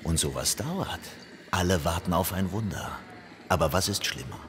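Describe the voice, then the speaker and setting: An actor in a radio play playing the devil's exasperated confidant, scolding and sarcastic. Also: male voice, deep voice, expressive, moderate pace. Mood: lecturing. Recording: long reverb, faint background noise.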